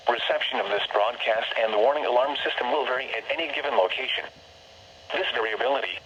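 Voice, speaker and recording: Synthetic man, American accent, measured bulletin readout, weather radio broadcast, faint static noise, muffled band. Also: mid-range voice. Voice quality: synthetic text-to-speech voice. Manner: formal, measured, impersonal. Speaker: automated weather radio announcer.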